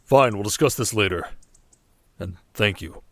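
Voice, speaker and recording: A man playing a composed desert king in an ASMR audio drama, authoritative and measured with dry wit. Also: lowish voice, a bit bright, no echo. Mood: commanding. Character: composed, dry-witted desert king leading his army.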